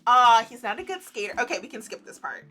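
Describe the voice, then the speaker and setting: A woman streamer reading a visual novel aloud in an animated let's-play style, breaking into giddy, giggly reactions and commentary. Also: on the high side, fast talker, soft background music.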